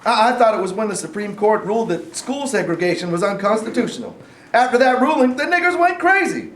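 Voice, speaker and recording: Man playing excitable high-voiced alarmist official. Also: fairly quick. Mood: alarmed. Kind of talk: staged play reading. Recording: roomy, echoey live stage recording.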